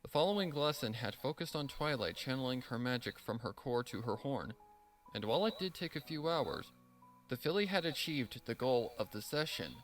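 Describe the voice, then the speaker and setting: A man's voice: mid-range voice, expressive, moderate pace, faint music behind, rough recording. A man narrating a dramatic story from a script as the storyteller.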